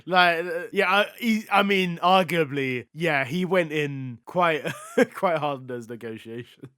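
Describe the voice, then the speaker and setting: A man's voice: fairly high pitch, measured pace, pushed and bright, clear, resonant tone, dry recording, clean studio sound. A man hosting a podcast, chatting informally about films and often laughing easily as he talks. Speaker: podcast host. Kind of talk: casual film review chat.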